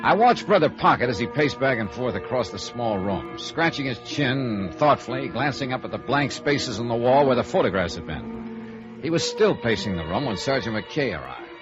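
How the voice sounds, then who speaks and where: An actor playing a sardonic, hard-boiled American insurance investigator in a 1950s radio detective drama. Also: male voice, medium pitch, very animated, normal speed, natural voice. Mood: brisk, wry narrating. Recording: dull vintage radio recording with music.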